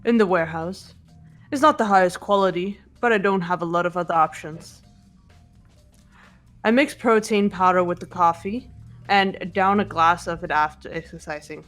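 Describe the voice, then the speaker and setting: A woman playing a stoic, muscular teenage fighter girl in game dialogue, speaking in a measured, formal way. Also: on the low side, a bit bright, faint music behind, natural voice. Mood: calm, serious. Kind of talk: fan dub of visual novel game dialogue.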